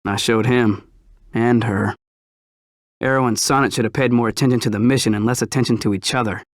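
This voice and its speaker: An actor playing a boastful, defiant ace pilot with a grudge in a video game. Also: male voice, medium pitch, fairly even intonation, brisk, no echo, studio quality, lightly acted. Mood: resentful. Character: cocky, resentful male ace pilot defector.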